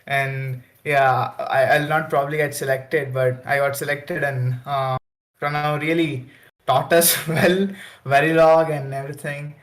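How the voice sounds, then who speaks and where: A man's voice: on the high side, fairly even intonation, measured pace. A young man, a program participant, telling eagerly how he joined, over a video call. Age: young adult. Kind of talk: casual thank-you speech.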